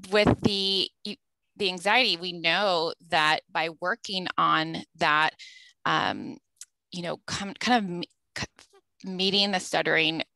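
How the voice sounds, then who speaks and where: Woman, speech therapist, gravelly voice, halting with restarts, dry close sound. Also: on the low side, fairly even intonation, pushed and bright, no echo, low-quality recording. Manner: halting, with frequent restarts and filler. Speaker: speech-language pathologist. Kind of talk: casual professional conversation.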